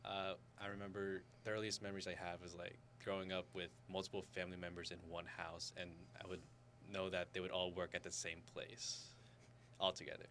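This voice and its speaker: A man's voice: sits low, little pitch movement, brisk, fairly bright tone. A young man from Florida, born in the Philippines, chatting casually and a bit hesitantly as an interview guest. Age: young adult. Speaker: student club guest, dance coordinator. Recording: clear background noise with hum.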